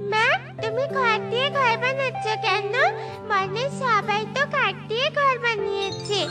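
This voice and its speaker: Very high-pitched little girl bird. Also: a little slow. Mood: wishful, pleading. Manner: childlike, pleading. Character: sweet, wishful little girl bird, daughter. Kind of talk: children's cartoon dubbing. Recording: loud children's music behind.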